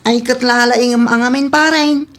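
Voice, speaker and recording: A woman's voice: fairly high pitch, steady tone, a little slow, a bit bright. A woman playing a giggly, boastful, flashy male buddy in a comedy audio drama.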